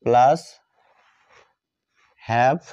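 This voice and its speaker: A man's voice: mid-range voice, drawn-out words, dark tone, clean tone. A man teaching a grammar lesson step by step, dictating word by word and repeating himself. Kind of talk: step-by-step lesson, writing out examples. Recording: dull lo-fi sound.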